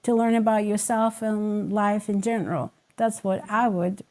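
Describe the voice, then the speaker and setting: A woman's voice: medium pitch, fairly even intonation, moderate pace, a little mellow. A woman from Ukraine with a Ukrainian accent, speaking English as a second language in a casual group podcast chat, reflective and emphatic. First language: not English. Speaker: podcast guest.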